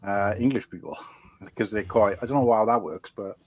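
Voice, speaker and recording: A man's voice: on the low side, normal speed, darkish tone, no echo. A Welsh man hosting a casual chat show, rambling and friendly, calling people mate. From Wales. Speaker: podcast host.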